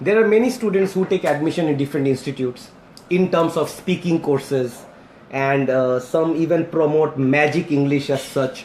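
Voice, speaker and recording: A man's voice: a little high, darkish tone. A man with an Indian accent, interviewing a fellow trainer politely and calling him sir. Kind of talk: casual interview. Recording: echoey room with faint ambient noise.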